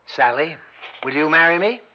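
Man playing a flustered, high-voiced timid gentleman. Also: lively intonation, normal speed, close to an everyday voice. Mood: earnest. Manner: hesitant, stammering, earnest. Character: timid, flustered, gullible gentleman, dreamy businessman. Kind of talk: old-time radio comedy acting. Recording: muffled, faintly noisy old radio recording.

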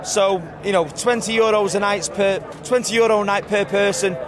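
Warrington man amid a loud crowd. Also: high-pitched, fairly even intonation. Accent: English, Warrington. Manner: halting, many restarts. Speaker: football fan interviewed. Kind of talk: street vox pop interview. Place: busy city street among fans.

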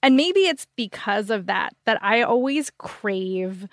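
A woman's voice: medium pitch, expressive, slow, dry recording, studio quality. A woman co-hosting a book podcast, giving recommendations in a casual, enthusiastic and emphatic way.

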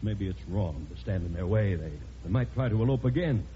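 A man's voice: fairly low pitch, lively intonation, brisk, close to an everyday voice. An actor playing a meek, polite, hesitant middle-aged father in a vintage radio sitcom. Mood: meek, apologetic. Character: meek, hesitant, well-mannered father of a wild son. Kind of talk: 1940s radio sitcom acting. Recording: muffled old radio recording, faint noise.

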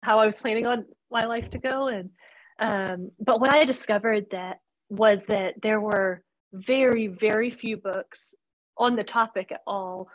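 A woman's voice: mid-range voice, a little slow, poor audio. A woman in her thirties, raised in North Carolina, speaking earnestly as a guest author in a casual interview, with frequent fillers. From born in Romania, raised in North Carolina. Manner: earnest, thoughtful, with many fillers.

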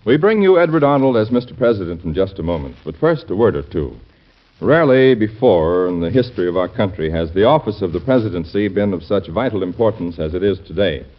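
A man's voice: low-pitched, animated, a little fast. A man announcing in a formal, earnest and emphatic 1940s radio style. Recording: dull vintage radio recording.

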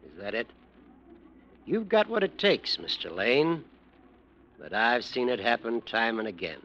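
An actor playing a stern, fatherly trial judge in 1940s radio drama, speaking formally and deliberately. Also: medium pitch, sing-song, fairly bright tone, muffled recording, a little hiss or hum. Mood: concerned.

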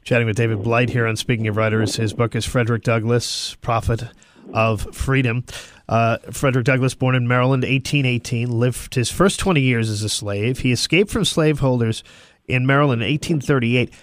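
A man hosting a radio interview, reading introductions and putting questions with deliberate, presenterly phrasing. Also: medium pitch, steady tone.

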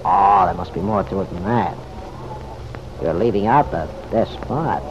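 An actor playing a folksy, slyly probing police officer in an old radio drama, with wry, slangy, unhurried questioning. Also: male voice, low-pitched. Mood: sly, skeptical. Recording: dull, noisy old radio recording.

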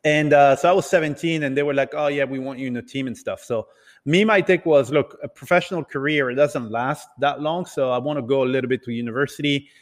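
A man who grew up in Italy and other countries, telling his story casually as an interview guest in a halting, hesitant way. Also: medium pitch. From Italy and several other countries. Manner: hesitant, halting delivery with repeated words. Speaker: podcast guest, entrepreneur. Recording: reverberant, hall-like room sound.

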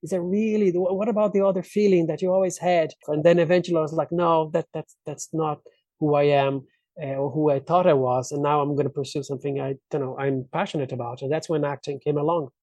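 A Brazilian man, a native Portuguese speaker, with a mellow and slightly high voice. He tells personal anecdotes in a casual interview style, often acting out remarks. The sound is close and dry. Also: little pitch movement, fast talker, dry recording. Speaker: interview guest, actor.

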